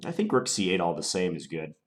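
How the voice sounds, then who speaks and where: Very deep-voiced man with a cold. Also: big pitch swings. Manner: deliberate, musing, unhurried analysis. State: stuffed up from a cold. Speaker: chess player commentating his own game. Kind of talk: thinking aloud while playing.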